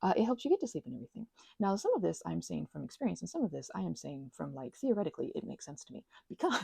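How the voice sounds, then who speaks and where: Soft rambly asmr lady, kinda low and gravelly, dark mellow tone, very dry close mic. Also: big pitch swings, fast talker, no echo. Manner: rambling, meandering, many fillers and restarts; gentle, affectionate toward listeners. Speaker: ASMR creator. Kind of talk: soft-spoken ASMR ramble while crafting.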